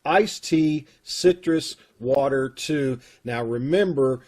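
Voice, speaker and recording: A man's voice: medium pitch, takes it slowly, a little mellow. Folksy Kentucky man on a video call. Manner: folksy, rambling, with fillers. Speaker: theater troupe leader. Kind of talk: casual announcements.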